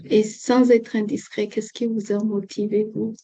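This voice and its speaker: Brief: woman host, polite interviewer, monotone and mellow, lo-fi sound. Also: mid-range voice, measured pace. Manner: polite, with fillers. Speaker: interviewer for an immigration agency. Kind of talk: casual interview. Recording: muffled lo-fi recording.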